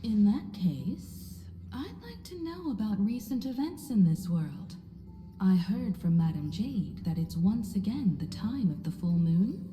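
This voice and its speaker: A woman playing a calm, elegant, wise space navigator in a video game, composed, polite and deliberate. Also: medium pitch. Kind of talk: video game story voice acting. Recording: roomy reverb, faint music behind.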